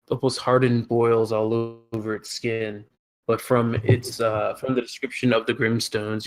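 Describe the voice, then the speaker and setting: Slow-talking man running a tabletop game. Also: mid-range voice. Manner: relaxed, hesitant with many fillers. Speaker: game master. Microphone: headset or desk mic on a voice call.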